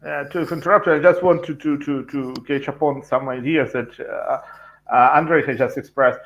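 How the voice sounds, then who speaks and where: Panel guest, man from Ukraine, native Russian speaker, slightly high voice, measured explainer. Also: steady tone, a bit bright. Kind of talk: political panel discussion.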